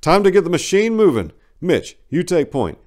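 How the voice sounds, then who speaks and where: A man's voice: high-pitched, very animated, moderate pace, darkish tone, voice pushed up. A man voicing a commanding American army sergeant who gives clipped orders, in a war story reading. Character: commanding, brisk male American army sergeant leading patrol.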